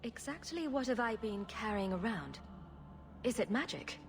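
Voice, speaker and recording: Wry, self-assured adventurer heroine. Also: female voice, fairly high pitch, big pitch swings, moderate pace, soft background music. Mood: questioning. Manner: wry, questioning. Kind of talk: video game voice acting.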